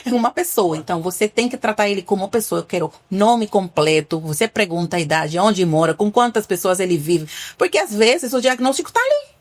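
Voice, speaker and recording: Cuban-accented woman doctor, earnest. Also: fairly high pitch. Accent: Cuban Spanish. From Cuba. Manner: earnest, explains with patient examples, some restarts. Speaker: family doctor. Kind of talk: podcast interview.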